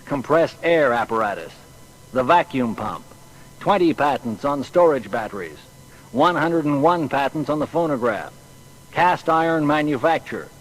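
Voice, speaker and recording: An actor playing a proud banquet orator in a dramatised audio biography, speaking in a declamatory, stately manner. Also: male voice, mid-range voice, expressive, moderate pace, close to an everyday voice, rough recording. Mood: brisk reciting. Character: proud American banquet orator giving a grand toast.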